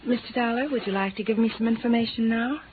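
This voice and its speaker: A woman's voice: on the high side, fairly even intonation, fast, close to an everyday voice. A woman playing a pleasant, efficient doctor's receptionist in a 1950s radio drama, polite and businesslike. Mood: helpful. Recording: tinny, hissy 1950s radio recording.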